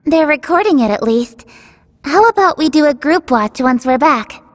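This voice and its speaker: A woman's voice: sits high, expressive, normal speed, big-room sound. An actress playing a thoughtful, composed young female teammate in a video game cutscene. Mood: composed, glad. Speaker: voice actor.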